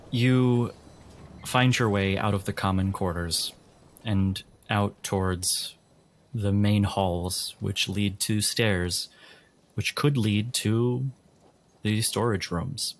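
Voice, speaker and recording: A man running a tabletop game as game master, narrating scenes descriptively in a measured way. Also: on the low side. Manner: measured, addresses players in second person.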